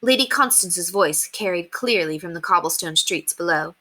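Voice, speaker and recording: Woman narrating a kids book, lowish voice, really lively and expressive. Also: normal speed. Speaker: read-aloud storyteller.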